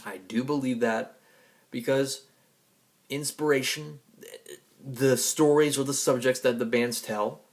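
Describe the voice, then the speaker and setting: A man's voice: mid-range voice, steady tone, unhurried, long pauses. A man giving a rambling personal monologue to an audience in an emphatic, defiant, profanity-laced way. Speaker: vlogger and music fan.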